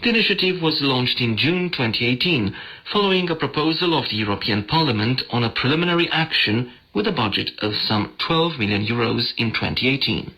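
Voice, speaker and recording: Male news magazine host, crisp bright edge, scripted delivery, shortwave radio broadcast. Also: mid-range voice, lively intonation, brisk, rough recording.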